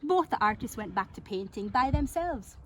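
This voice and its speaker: A woman reading a picture book aloud to children in an expressive, playful way, drawing out words and asking her listeners questions. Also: on the high side, slight background noise. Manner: theatrical, playful, with drawn-out words and exclamations.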